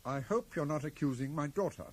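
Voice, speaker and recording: Stiff, curt British professor, swooping intonation. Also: male voice, on the high side, lively intonation, fairly quick. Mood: irritated, indignant. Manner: stiff, formal, brusque. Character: very correct British professor, curt, guarded, easily affronted father. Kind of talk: 1980s radio drama acting. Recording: faintly noisy 1980s radio broadcast.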